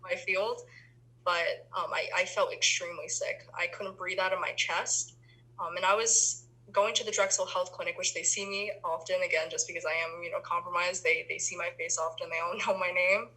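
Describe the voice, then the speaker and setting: Bright, husky-voiced young woman talking. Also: on the low side, steady tone, brisk, poor audio. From Philippines. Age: young adult. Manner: chatty, many ums and restarts. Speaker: interviewee, university student.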